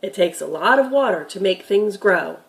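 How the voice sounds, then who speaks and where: A woman's voice: medium pitch, expressive, normal speed, lightly acted. A woman voicing a patient, knowledgeable grandfather who explains things emphatically in a children's storybook reading. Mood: patient, explanatory.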